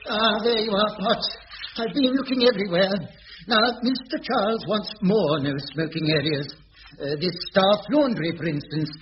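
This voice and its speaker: An actor playing an officious, harassed building manager in a radio sitcom, formal and fussy with hesitant turns. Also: male voice, high voice, normal speed, close to an everyday voice. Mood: irritated. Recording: muffled, noisy old radio recording, clicks.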